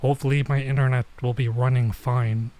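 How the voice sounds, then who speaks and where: A man's voice: medium pitch, flat delivery, fairly quick, dark tone. A man giving casual gameplay stream commentary, muttering to himself in mildly exasperated slang. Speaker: streamer, VTuber playing a visual novel.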